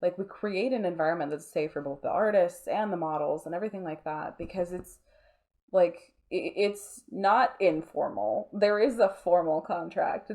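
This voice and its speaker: A woman with a relaxed, mellow voice on the low side talks in a conversational interview. She explains her points earnestly, often pausing and restarting with plenty of filler words. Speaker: interview guest, art studio owner.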